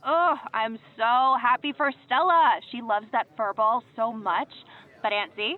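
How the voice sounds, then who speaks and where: A woman's voice: a little high, a little slow, natural voice. A woman playing an eager, dry-witted young niece on a muffled phone line in a comedic audio drama.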